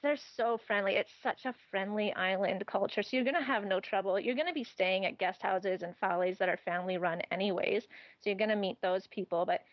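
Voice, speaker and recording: A chatty woman talking through her travel tips in an easy, casual way, with frequent laughs. Her voice sits at a middle pitch and sounds dry and close, on slightly dull, lo-fi audio. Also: a little fast, no room sound. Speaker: travel guest. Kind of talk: casual interview chat.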